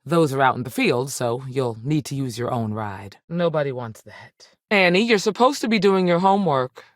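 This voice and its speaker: Casting: principled female ranger in a sci-fi game, strict yet protective, folksy, very expressive pitch, dry close recording. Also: moderate pace, dry recording. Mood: stern, scolding. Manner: plain-spoken, authoritative, folksy. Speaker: video game character actor. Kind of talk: scripted game dialogue.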